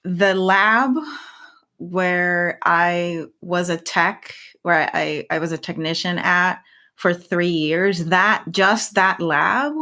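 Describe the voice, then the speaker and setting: A woman's voice: lowish voice, steady tone, slow pace, sharp tone. A woman from Puerto Rico in her thirties whose first language is Spanish, speaking reflectively as a podcast guest and often restarting her phrases. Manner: reflective, with frequent restarts and repeated words. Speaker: guest scientist. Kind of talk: podcast interview.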